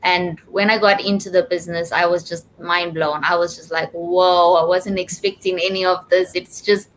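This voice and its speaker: A woman's voice: lowish voice, steady tone, moderate pace, slight background noise, low-quality recording. A woman from Zimbabwe speaking casually as an interview guest, telling of personal experience.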